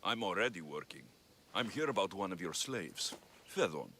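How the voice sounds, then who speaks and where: Low-voiced, blunt mercenary hero. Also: male voice, sing-song, normal speed, sharp tone, slight background noise, exaggerated voice. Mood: firm, businesslike. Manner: blunt, terse, dry. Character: gruff, sardonic young male Greek mercenary hero. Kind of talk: video game cutscene acting. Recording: music behind.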